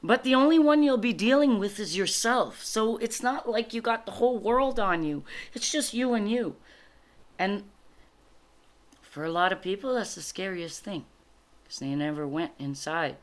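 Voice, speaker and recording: A woman whose first language is French gives informal spiritual guidance as a card reader, reflective and halting, explaining things in short phrases. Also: medium pitch, animated. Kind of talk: informal spiritual guidance, reading cards aloud and commenting.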